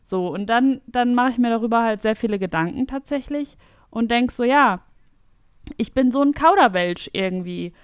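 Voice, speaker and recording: A woman in her late twenties hosting a podcast, thinking out loud in casual chat with a reflective, self-mocking manner. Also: a little high, normal speed, smooth, no room sound, studio quality. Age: late 20s. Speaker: podcast host.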